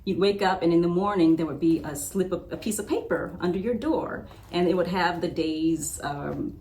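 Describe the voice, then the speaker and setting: A woman's voice: fairly low pitch, moderate pace, mellow, reverberant. A woman hosting a casual interview, reminiscing with enthusiastic, gushing delivery. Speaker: interviewer and host. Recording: clearly noisy recording.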